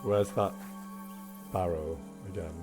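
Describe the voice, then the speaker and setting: A man streaming a game with casual, self-deprecating commentary, in a husky voice, chatting with his viewers. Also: deep voice, steady tone, slow, music in the background.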